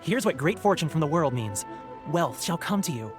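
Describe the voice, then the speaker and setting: A man's voice: a little high, very animated, moderate pace, over music. A man voicing an effusive festival fortune teller in a video game, cheerful and flowery in delivery. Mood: congratulatory.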